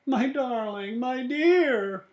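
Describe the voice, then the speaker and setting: A man voicing a flustered, doting storybook spouse with melodramatic, drawn-out pleading. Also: extremely high pitch, lively intonation, darkish tone, voice pushed up, heavily acted. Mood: distraught, pleading.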